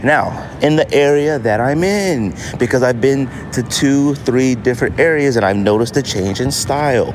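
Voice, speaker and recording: A man's voice: medium pitch, animated, moderate pace, hardly any pauses. An American man hosting a podcast in a casual solo monologue, repeating phrases for emphasis. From United States. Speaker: podcast host. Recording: noisy outdoor city street ambience. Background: street noise, traffic, banging.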